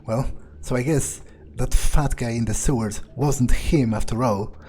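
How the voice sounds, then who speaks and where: A man's voice: mid-range voice, measured pace, dark tone, slight background noise. A man playing a game in character, thinking aloud in a casual, hesitant, musing way.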